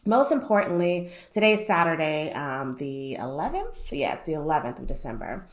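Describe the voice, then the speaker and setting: A young woman with a lowish voice speaks straight to her audience in a relaxed, conversational way. Her voice is slightly nasal from a cold she is still getting over, and she keeps checking in with "right?" and "um" as she explains. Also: normal speed. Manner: conversational, frequent "right?" and "um" asides. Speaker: finance YouTuber. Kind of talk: casual explainer talk to camera.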